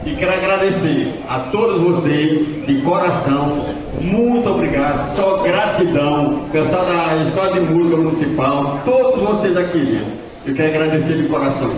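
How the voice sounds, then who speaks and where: A man's voice: on the high side, normal speed. A man, president of a cultural association, giving a rambling, grateful thank-you speech at an event. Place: cultural center hall. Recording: echoey hall, distant mic, crowd.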